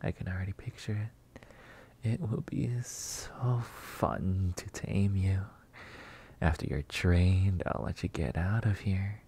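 A man playing a flirty, possessive, delusional vampire master in a roleplay ASMR monologue, teasing and theatrical with drawn-out words. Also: sits low, dark tone, husky, heavily acted. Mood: possessive, controlling.

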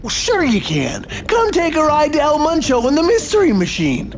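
A man playing a goofy, cowardly, always hungry young slacker in a cartoon video game, with a reedy, cracking voice and slangy, drawn-out talk peppered with "like". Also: extremely high pitch, huge pitch swings, exaggerated voice. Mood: puzzled. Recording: background music under dialogue.

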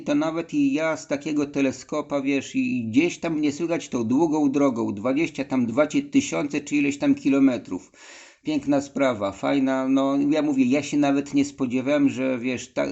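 A man's voice: medium pitch, darkish tone, highs cut off. A man from Poland, an amateur radio operator, talking casually during a radio contact.

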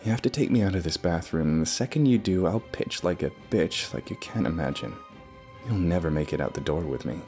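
A man's voice: deep voice, lively intonation, fairly quick, a little mellow, soft background music. A man voicing a foul-mouthed, wisecracking, defiant protagonist in a dramatised story, with a crude sardonic manner. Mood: dazed defiance. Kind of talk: dramatised first-person story dialogue.

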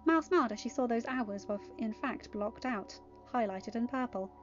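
High-voiced woman reading a story. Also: normal speed, lo-fi sound, faint music behind. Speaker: amateur audiobook reader. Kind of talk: narrated fan fiction reading.

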